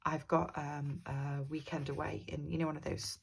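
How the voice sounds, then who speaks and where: A British woman with a croaky voice from a cold, chatting casually about her budget in a rambling way. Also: sits low, level tone, normal speed. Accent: British English. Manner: chatty, rambling, with frequent ums. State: croaky from a cold, sore throat. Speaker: budgeting vlogger. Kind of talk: casual talk to camera.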